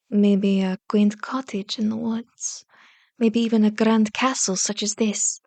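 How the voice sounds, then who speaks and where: Slow, condescending vampire seductress, acted by a woman. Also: medium pitch, no room sound. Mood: seductive persuasion. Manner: slow, commanding, mock-sweet taunting with whispered words. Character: powerful female vampire, seductive, condescending, manipulative captor. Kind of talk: ASMR roleplay.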